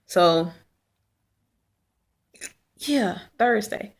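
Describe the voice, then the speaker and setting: This is a woman recording a casual video diary at home. Her voice is hoarse and raspy from a sore throat, with heavy vocal fry at mid pitch. She speaks haltingly and clears her throat often. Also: expressive. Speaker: vlogger.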